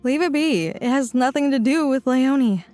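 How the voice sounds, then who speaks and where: Actress plays a reserved young hotel worker; high, quiet, guarded. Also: female voice, expressive, moderate pace, faint music behind. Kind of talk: audio drama acting.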